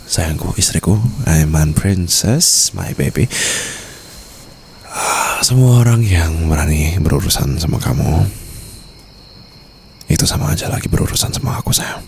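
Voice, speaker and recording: Man acting a deep, creaky mafia boss husband. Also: animated, unhurried, a little hiss or hum, full character voice. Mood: possessive, coaxing. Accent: Indonesian. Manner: teasing, coaxing, murmured endearments with English phrases mixed in. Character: possessive, doting mafia boss husband, ruthless to rivals. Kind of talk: intimate ASMR roleplay.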